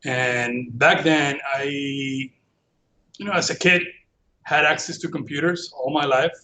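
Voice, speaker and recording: A man's voice: a little high, steady tone, slow, sharp tone. A middle-aged man from Venezuela, a native Spanish speaker with a Venezuelan accent, telling his story casually as a podcast guest. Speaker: podcast guest, computer forensics professional.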